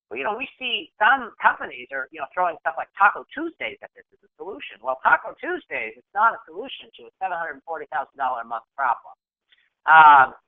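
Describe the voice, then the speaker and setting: Nasal congested man on a phone line. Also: fairly high pitch. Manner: conversational, many fillers and restarts. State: has a cold, congested. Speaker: webinar presenter. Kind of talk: business webinar presentation. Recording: telephone-band webinar audio.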